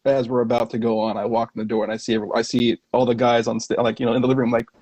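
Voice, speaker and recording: Guest bassist, man, quick eager talker, husky, close dry recording. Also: flat delivery, a little mellow, no room sound. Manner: fast, eager talker with false starts. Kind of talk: casual chat.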